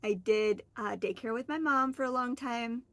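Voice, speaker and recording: A woman in her forties talks casually to the camera, rambling in a friendly way and correcting herself as she goes. Her voice sits a little high, and the recording is dry and close with almost no room sound. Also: moderate pace, a bit bright, dry recording. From Oregon, US. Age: 40s. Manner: chatty, rambling, friendly, with fillers and self-corrections. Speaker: vlogger. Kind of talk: casual solo chat to camera.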